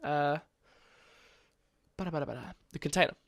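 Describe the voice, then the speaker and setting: A man's voice: on the high side, normal speed, vocal fry, a bit bright, no echo. A young man, congested, casually talking through a coding tutorial and correcting himself as he goes. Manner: casual, chatty, self-correcting. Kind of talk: screen-recorded coding tutorial.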